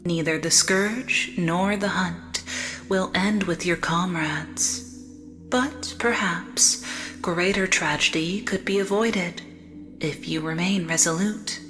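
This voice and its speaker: A woman plays a serene porcelain doll, a mysterious gothic guide. Her voice sits slightly low and moves very slowly, every phrase grave, deliberate and formal. Music runs behind her throughout. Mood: solemn. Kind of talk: gothic audio drama acting.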